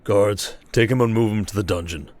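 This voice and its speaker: A man's voice: on the low side, moderate pace, a crowd in the background, natural voice. A man playing a confident, protective desert king with a commanding, dryly teasing manner in a fantasy audio roleplay. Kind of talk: dramatic ASMR roleplay acting.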